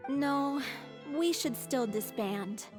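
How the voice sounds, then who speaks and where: A woman voicing a frail, ailing young stage performer in a video game, modest and gently resigned. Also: sits high, expressive, takes it slowly, over music.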